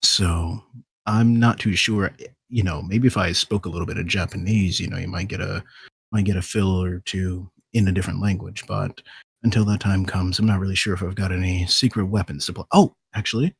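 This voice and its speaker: A man's voice: low voice, steady tone, fairly quick, gravelly, breathy edge, no room sound. A man talking casually as a voice actor guest on a podcast panel. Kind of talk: casual chat.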